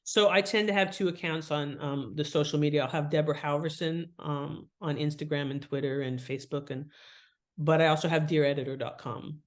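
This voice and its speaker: A woman's voice: deep voice, fairly quick. A woman talking casually and expressively as an interview guest, slipping into little acted-out asides as she explains. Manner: chatty, expressive, acts out imagined thoughts and asides. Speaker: podcast guest, fiction author and editor. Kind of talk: casual, animated interview talk.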